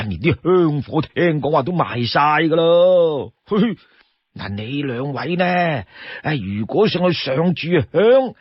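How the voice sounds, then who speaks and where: A man voicing a talkative, obliging old local man in an audiobook story, husky, with drawn-out sighs and confiding asides. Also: on the high side, no room sound, full character voice. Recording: dull, lo-fi, dry studio sound.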